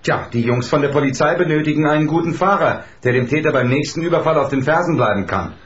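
An actor playing a businesslike, level-headed racing team member, explaining things in a measured way in a radio play. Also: male voice, a little high, close to an everyday voice. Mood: serious, explaining. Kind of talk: German radio play acting. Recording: echoey, slightly dull room.

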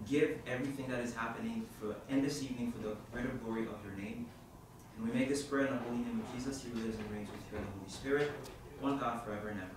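A man from Haiti, a youth minister, praying and then telling a story to a group in a halting, informal way with many fillers. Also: mid-range voice. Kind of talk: opening prayer and talk to a group. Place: hall at a university centre. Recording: echoey room from far off, background noise.

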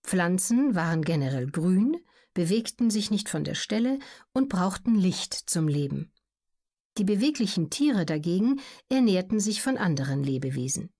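A woman reading scripted educational narration in a measured, neutral, carefully articulated way. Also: fairly low pitch, big pitch swings, warm tone. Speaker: audiobook narrator.

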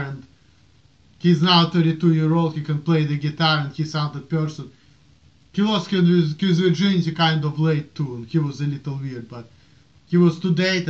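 Russian guy in his 30s rambling at his webcam, kinda high voice, muffled lo-fi sound. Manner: animated, waves his arms, rambling restarts. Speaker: vlogger. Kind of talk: casual rant to camera. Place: small room indoors. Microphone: webcam at desk. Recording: dull lo-fi webcam audio.

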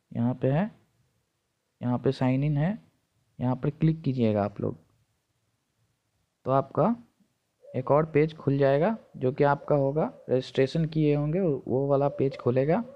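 A man's voice: medium pitch, muffled recording. A man narrating an informal step-by-step tutorial, instructing and repeating each step, recorded on a phone. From India. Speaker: tutorial presenter.

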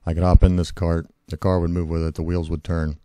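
A hoarse man with a cold, casually walking through a software tutorial with lots of fillers and self-corrections. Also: deep voice, little pitch movement, a little fast, no room sound. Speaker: tutorial host. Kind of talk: screen-recorded software tutorial.

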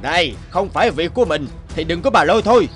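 A man playing a gruff, greedy, bullying temple guard in a cartoon, curt and demanding. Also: on the high side, lively intonation, fast, fairly bright tone, faint music behind. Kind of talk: cartoon voice acting.

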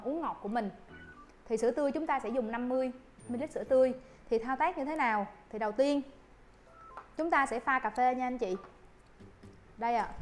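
A young woman, a barista instructor, guiding viewers through a coffee recipe politely with soft fillers, recorded on a lapel mic at a shop counter. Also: on the high side, a little hiss or hum. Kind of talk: coffee-making tutorial.

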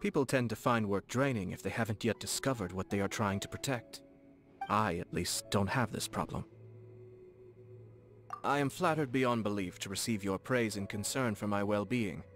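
An actor playing a courteous, composed young nobleman in a video game, speaking formally and softly with a gently teasing manner. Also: on the low side, natural voice. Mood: amused, calm, then composed, reassuring. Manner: formal, measured, politely playful. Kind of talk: video game character dialogue. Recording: studio voice over game music. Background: game background music.

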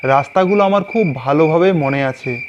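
A man's voice: a little high, moderate pace, dull audio, background noise. A man narrating a scripted ghost story in the first person. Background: background music.

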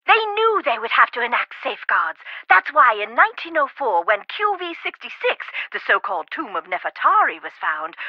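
A woman's voice: high voice, no echo. A theatrical older Southern lady author. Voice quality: flowery, dramatic, genteel. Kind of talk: video game voice acting. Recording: dry, slightly dull game audio.

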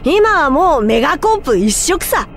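A woman's voice: sits high, big pitch swings, normal speed, faint music behind. A woman voicing a plucky, cheeky young male alien hero in a Japanese game dub.

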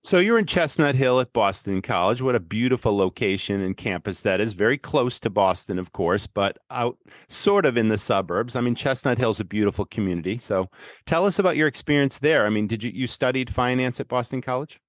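A man's voice: mid-range voice, expressive, a little fast, dry recording. An American man in his fifties hosting a podcast interview with effusive, wordy questions, close on a studio-style microphone. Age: 50s. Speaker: podcast host. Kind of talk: conversational interviewing. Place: small indoor room. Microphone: close microphone on boom arm, headphones.

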